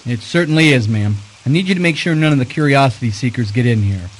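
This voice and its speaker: Casting: male actor as unflappable private eye, medium pitch, dry wry narrator of a radio mystery. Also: expressive, quick pace, lightly acted. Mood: cool, unflappable. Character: wry, cool-headed male private detective narrating his case. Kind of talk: first-person detective narration and dialogue in audio drama.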